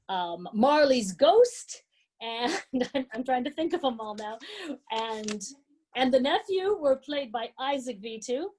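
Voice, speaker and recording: Woman mc at a student show, higher pitched voice, proud, informal live announcing. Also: darkish tone, poor audio. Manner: proud, appreciative. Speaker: presenter of a student program. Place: live before an audience at a student performance.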